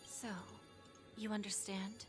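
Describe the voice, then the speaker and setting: A poised female power broker from a video game, played by an actress, speaks in a mid-range voice. She is composed, patient and seductively teasing, in unhurried scripted lines. Soft music sits faintly behind her. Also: medium pitch, level tone, natural voice. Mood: solemn, persuasive.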